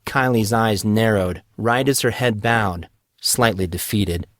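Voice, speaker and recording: A man narrating his own fantasy serial in a steady, measured storytelling manner. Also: lowish voice, dry recording, clean studio sound. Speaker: author and narrator. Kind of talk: scripted fantasy audiobook narration.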